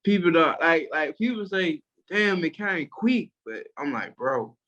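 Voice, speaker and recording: A man's voice: fairly high pitch, expressive, a little slow, a bit bright, muffled recording. A young man with a Memphis-area Southern accent, a music producer talking casually and slangily about his start, rambling with lots of fillers. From Tennessee, USA. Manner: laid-back, slangy, rambling with many fillers. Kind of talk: casual interview chat.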